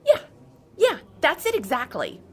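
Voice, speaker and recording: A woman's voice: high voice, huge pitch swings, unhurried, a little hiss or hum, heavily acted. A woman playing an opinionated, aggrieved suburban busybody, emphatic and huffy, in a radio comedy. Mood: aggrieved, resigned. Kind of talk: naturalistic radio comedy acting.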